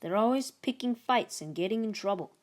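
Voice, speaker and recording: A woman's voice: mid-range voice, expressive, fairly quick, voice pushed up, close to an everyday voice. A woman voicing a small, nerdy know-it-all schoolboy in a storybook, explaining things earnestly and wryly. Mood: cautioning.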